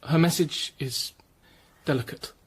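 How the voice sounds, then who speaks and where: Casting: young English Tudor lawyer, slightly high, breathy voice, formal, earnest and deferential, for radio drama. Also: male voice, a little slow. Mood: urgent, discreet. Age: young adult. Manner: polite, careful, formal.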